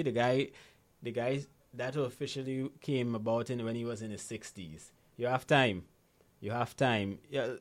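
A Guyanese man speaking as an interview guest and coach, explaining in a conversational, motivational way and repeating key phrases for emphasis. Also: mid-range voice.

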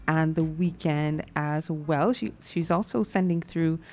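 A woman's voice: low-pitched, a little slow, a little mellow, a little hiss or hum. A woman with a Guyanese accent, co-hosting a live morning talk show, laughing easily and greeting viewers. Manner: bubbly, laughs often, reads viewer comments. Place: TV studio.

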